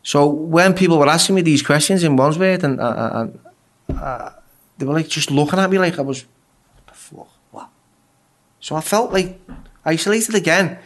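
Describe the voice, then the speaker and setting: A man's voice: a little high, moderate pace, pauses often. English man telling raw prison stories. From England. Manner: swears freely, stammers and repeats words. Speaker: guest. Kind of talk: casual interview storytelling.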